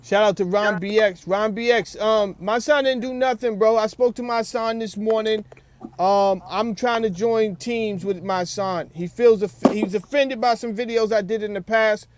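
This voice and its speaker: A man's voice: high-pitched, fairly even intonation, normal speed. A man in a casual, earnest phone conversation, using slang and restating his points. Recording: noisy phone call, background ambience.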